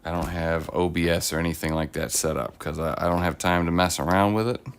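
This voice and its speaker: Streamer host, middle-aged man, gravelly low voice a bit rough from illness, rambling upbeat chat, phone mic at home. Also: steady tone, brisk. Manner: rambling, upbeat, self-deprecating. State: voice deepened while getting over an illness. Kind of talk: casual livestream chat while painting miniatures. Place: home, at a table. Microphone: phone on a clamp arm.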